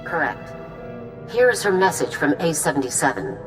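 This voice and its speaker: Low-voiced, formal general. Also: female voice, big pitch swings, music in the background. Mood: composed, businesslike. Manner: measured, formal briefing. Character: composed, formal female military general. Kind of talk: video game cutscene acting.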